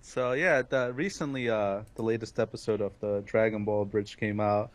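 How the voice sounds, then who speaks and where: A man's voice: lowish voice, steady tone, normal speed. A man co-hosting a casual fan podcast, talking in an enthusiastic, emphatic way.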